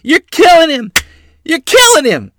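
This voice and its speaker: A man's voice: very high voice, wildly swooping pitch, unhurried, noisy background, pitched above the performer's own voice, full character voice. A man voicing a desperate mother in a story, shrieking frantically in defense of her child. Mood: frantic.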